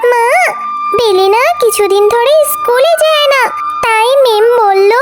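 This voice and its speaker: A woman's voice: very high voice, a little slow. A woman voicing an eager, innocent young schoolgirl animal in a children's cartoon, childlike and earnest, with music behind her.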